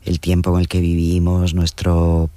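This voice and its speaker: A man's voice: sits low, completely flat delivery, a little slow, dark tone, no echo. A man interviewing a writer, asking long, musing questions that often end with a tag question. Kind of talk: conversational interview.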